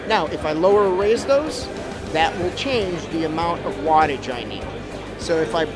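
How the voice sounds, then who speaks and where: A man's voice: a little high, animated, normal speed. A middle-aged man giving a casual, step-by-step technical explanation as an expert guest in an interview. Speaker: expert guest, audio trainer. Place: busy trade show floor. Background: music.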